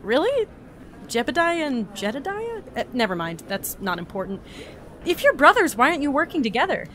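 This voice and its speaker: Lady as an awkward young stranger, high swoopy voice, stammers, dry sarcasm, kinda exasperated. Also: very animated, a little fast, fairly bright tone, a little hiss or hum. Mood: bewildered. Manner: hesitant, stammering, dryly sarcastic. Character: awkward, bewildered young otherworldly newcomer, politely exasperated. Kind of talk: audio drama acting with log narration.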